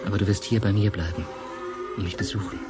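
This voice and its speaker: An actor playing a tender, mysterious male lover in an intimate radio drama, slow and pleading. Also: lowish voice, steady tone, music in the background, natural voice. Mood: tender, happy.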